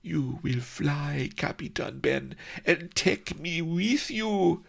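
A frantic young noblewoman, as a male reader puts her on, speaks in high, gasping broken English with a Spanish-flavoured accent. Her pleas tumble out in melodramatic bursts. It is a gravelly storybook voice pushed far up into a girlish register. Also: expressive, slow, full character voice. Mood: pleading. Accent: put-on Spanish-flavoured broken English. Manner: breathless, pleading, melodramatic. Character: panicky young noblewoman, breathless and dramatic.

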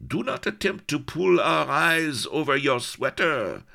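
A man's voice: mid-range voice, sing-song, measured pace, creaky, a bit bright, voice pushed up, exaggerated voice. A man voicing a burly, gloating Breton pirate with a French accent, bawling mangled English mixed with French. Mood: mocking, triumphant.

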